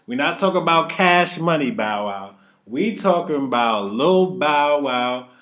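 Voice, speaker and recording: A man in his late twenties hosting a casual chat, talking with hype and drawn-out slang. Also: fairly high pitch, lively intonation, a bit bright. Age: 20s. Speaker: channel host.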